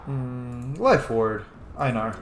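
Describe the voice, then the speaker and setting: A man's voice: medium pitch, slow. A man giving casual, sweary gameplay commentary as a let's play host, talking to the game's characters as he plays. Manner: sweary, talks to game characters. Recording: roomy echo, clear background noise.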